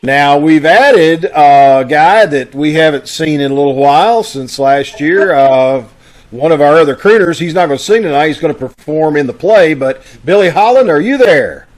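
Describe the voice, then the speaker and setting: A man's voice: fairly high pitch, expressive, moderate pace. A man casually hosting a live online broadcast on a video call, making announcements in a folksy, conversational way. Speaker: host of a live online radio-troupe broadcast.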